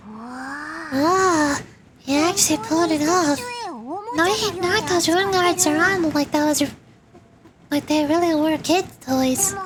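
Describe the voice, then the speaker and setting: A woman's voice: high voice, noisy background, natural voice. A woman voicing a tiny, chirpy, blunt and childlike fairy companion in a video game. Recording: music behind the voice.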